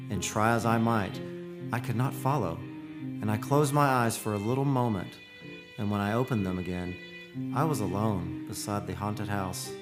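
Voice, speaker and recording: A man's voice: fairly low pitch, natural voice. An actor playing an earnest, lovestruck man who narrates a haunted romance in poetic radio-play style. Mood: wistful, rapt. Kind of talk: radio play acting and dramatic first-person narration. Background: music.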